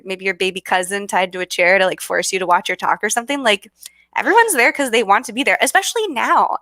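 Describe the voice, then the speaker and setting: Bubbly Californian woman, bright voice. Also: medium pitch, no echo. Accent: Californian American. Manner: bubbly, many fillers and restarts. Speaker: guest speaker. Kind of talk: casual video call chat.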